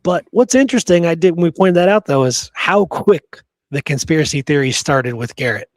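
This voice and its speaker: A man's voice: on the high side, expressive, fairly quick, no room sound. A man hosting a podcast, talking casually about history in an emphatic, opinionated, rambling storytelling way. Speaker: podcast host.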